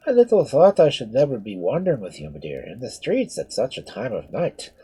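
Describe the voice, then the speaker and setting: A man voicing a portly, cheerful Irish friar with a brogue, loud and fussing. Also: medium pitch, huge pitch swings, fairly quick, breathy edge, heavily acted, poor audio. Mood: fatherly coaxing. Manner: loud, bustling, fretful.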